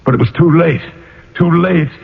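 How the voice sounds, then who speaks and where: A man's voice: fairly high pitch, moderate pace, creaky, breathy edge, full character voice. An actor playing a centuries-old nobleman's ghost in a radio drama, anguished and remorseful, faltering theatrically and repeating his phrases. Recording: muffled old radio broadcast, music behind.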